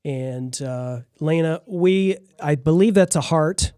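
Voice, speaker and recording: Male host, casual and rambling, slightly higher voice, dry studio-close sound. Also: takes it slowly, mellow, clear, resonant tone, no room sound. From Detroit, Michigan. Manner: rambling, loose conversational asking. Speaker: podcast host. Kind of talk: casual interview chat.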